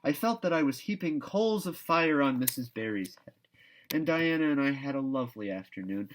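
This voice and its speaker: A man's voice: mid-range voice, voice pushed up, lightly acted. A man voicing an exuberant, dreamy young girl who chatters effusively and grandly, in a children's novel read aloud.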